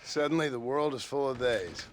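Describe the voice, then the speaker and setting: A man's voice: mid-range voice, moderate pace, a little hiss or hum, close to an everyday voice. An actor playing a terse, dogged American outlaw gunman in a Western video game, speaking in a blunt, laconic, dry way. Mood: wry.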